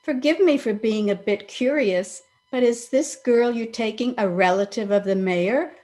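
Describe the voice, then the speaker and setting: A woman's voice: fairly high pitch, moderate pace, a little mellow, natural voice. A woman playing a wry, proud sweetheart in an old-time radio comedy, with a dry, pointed delivery. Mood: pointed, suspicious. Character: wry, proud woman being jilted, quietly cutting.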